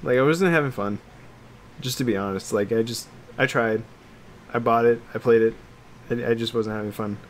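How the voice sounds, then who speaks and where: A man's voice: mid-range voice, moderate pace, pauses often. Rambling man chatting over background noise. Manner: rambling and hedging, peppered with "like". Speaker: podcast co-host. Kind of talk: casual chat about games and films.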